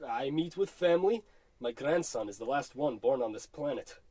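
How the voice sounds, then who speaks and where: A man's voice: mid-range voice, expressive, normal speed, mellow, no room sound, close to an everyday voice. An actor playing a solemn, polite old Russian grandfather with a Russian accent, telling his tale grandly in a radio comedy. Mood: mournful, solemn.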